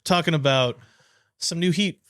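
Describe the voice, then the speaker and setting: A man's voice: fairly high pitch, normal speed, a bit bright, dry recording, studio quality. An American man co-hosting a podcast, chatting casually in slangy, informal language. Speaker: podcast co-host. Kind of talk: casual chat and informal sponsor plugs.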